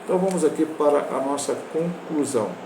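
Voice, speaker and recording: A Brazilian man in his sixties, a pastor teaching a Bible lesson, talking in a halting way with restarts and self-corrections. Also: a little high, reverberant, background noise. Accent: Brazilian Portuguese. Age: 60s.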